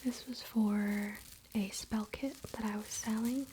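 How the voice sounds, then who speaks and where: A woman whispering breathily and softly in an unhurried, casual, chatty ASMR style, close to the microphone. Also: medium pitch, steady tone, a little hiss or hum. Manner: unhurried, soft-spoken, informal. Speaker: ASMR creator and crystal seller.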